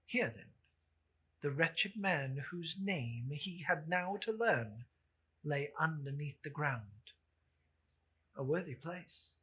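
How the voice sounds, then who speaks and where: Slow, husky woman narrating dramatically. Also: sits low, bright, forceful tone. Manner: solemn, theatrical, deliberate phrasing.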